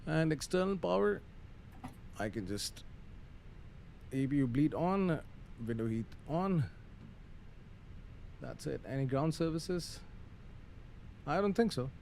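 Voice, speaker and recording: A man's voice: a little high, animated, slow pace, creaky, long pauses, darkish tone. A man giving casual live-stream commentary on a flight simulator, muttering through procedures hesitantly with some radio phraseology. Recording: faint background noise.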